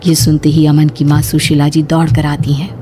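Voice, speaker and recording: A woman's voice: low-pitched, completely flat delivery, moderate pace, warm tone, a little hiss or hum. A woman reading scripted, dramatic story narration as the narrator. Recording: clear music bed under voice.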